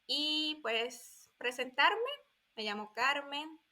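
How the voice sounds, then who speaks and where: A woman in her twenties from Colombia talks casually as a podcast guest. Her voice sits a little high and sounds bright and forward. She often pauses and restarts while she hunts for the right words. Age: 20s. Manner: hesitant, searching for words. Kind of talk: casual interview talk.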